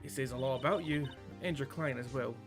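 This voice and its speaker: A man voicing a composed, approving female law firm boss in a video game, in a measured way. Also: mid-range voice, over music, lightly acted. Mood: impressed.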